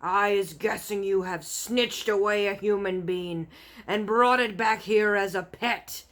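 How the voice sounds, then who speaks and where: A woman voicing a huge, bullying, crude man-eating giant in a storybook, booming out mangled grammar with slow menace. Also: steady tone, close to an everyday voice. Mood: menacing. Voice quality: booming, forceful shouting.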